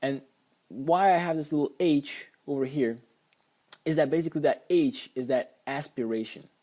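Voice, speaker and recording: Slovak-accented man explaining with mellow voice. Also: fairly high pitch, moderate pace. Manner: hesitant, with many ums and restarts. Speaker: language teacher. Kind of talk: casual explanatory screen lesson.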